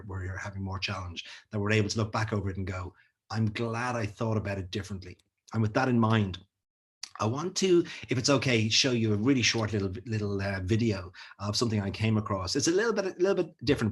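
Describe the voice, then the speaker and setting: Man, husky, low, friendly and chatty, encouraging. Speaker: school wellbeing speaker. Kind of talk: casual talk to students on video.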